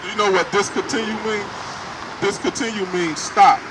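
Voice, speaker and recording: Male street preacher, emphatic and confrontational, raised high voice, recorded on a noisy city street. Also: fairly even intonation, normal speed. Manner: loud, emphatic, questions passersby.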